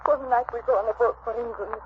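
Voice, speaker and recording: An actress plays a passionate Italian wife in a 1940s radio drama, pouring out exclamations in broken English with an Italian accent. Her voice is very high, under heavy noise on a muffled old recording. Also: steady tone, quick pace, lightly acted. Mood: bitter, fearful. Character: passionate Italian-American wife, fearful then wildly joyful.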